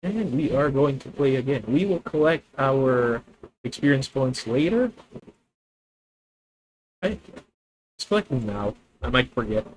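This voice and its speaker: A young man with a Filipino accent streaming a card game, thinking out loud about his moves, correcting himself and talking to his viewers. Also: medium pitch, animated, unhurried, long pauses, a little hiss or hum, rough recording. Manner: thinking aloud, self-correcting, addresses viewers. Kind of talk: live gameplay commentary.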